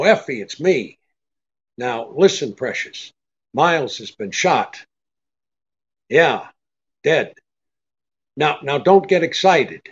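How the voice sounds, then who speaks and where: An actor playing a cool, brisk, sardonic private detective in an old-time radio drama, with a clipped, take-charge manner. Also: male voice, medium pitch. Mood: terse, urgent. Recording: dull lo-fi radio-play recording.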